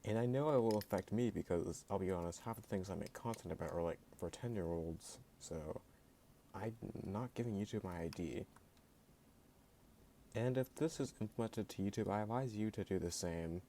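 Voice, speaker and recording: Low-pitched guy ranting to camera, blunt and sarcastic, voice kinda jittery. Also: normal speed, dark tone, slight background noise. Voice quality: voice sounds jittery at times. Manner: sarcastic and blunt, heavy use of "like". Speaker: YouTube creator. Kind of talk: opinion rant to camera.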